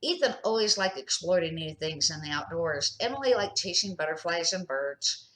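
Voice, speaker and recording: A woman's voice: on the low side, expressive, moderate pace, a bit bright, rough recording. An older woman, a grandmother, narrating a children's storybook aloud in a plain, amateur reading style.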